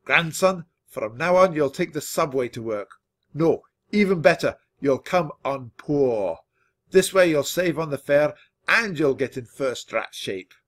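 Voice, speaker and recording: A man voicing a bossy, miserly old grandfather mouse in a children's audiobook, hectoring loudly with emphatic, drawn-out words. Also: a little high, lively intonation. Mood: stern, exasperated.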